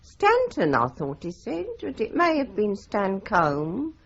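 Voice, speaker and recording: A woman's voice: mid-range voice, slow, creaky, slight background noise, heavily acted. An actress playing a talkative, stern older landlady in a radio drama, running on with advice. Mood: chatty. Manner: chatty, lively, runs on with advice. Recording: dull lo-fi broadcast recording.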